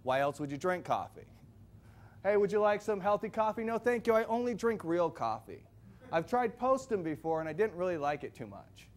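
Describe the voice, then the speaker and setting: A man giving a loud, projecting sales presentation to a live audience, firing questions at the crowd. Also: high-pitched, big pitch swings, moderate pace. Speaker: product presenter.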